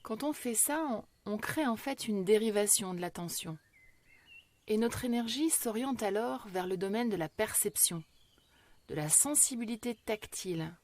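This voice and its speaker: Female meditation guide, mid-pitched; calm, unhurried, soothing delivery with generous pauses between phrases. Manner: slow, measured delivery with long pauses. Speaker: yoga nidra meditation guide.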